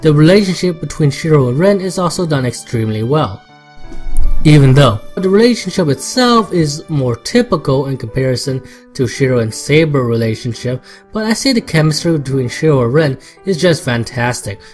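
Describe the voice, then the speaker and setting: A man's voice: a little high, expressive, normal speed, darkish tone. A man reading a scripted video game review as a voice-over. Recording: voice-over with music behind.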